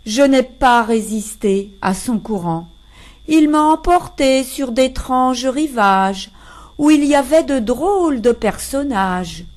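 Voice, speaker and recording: A woman with a fairly high voice recites verse at a very slow pace. Her phrasing is measured and expressive, with deliberate pauses between the lines. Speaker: poetry reader.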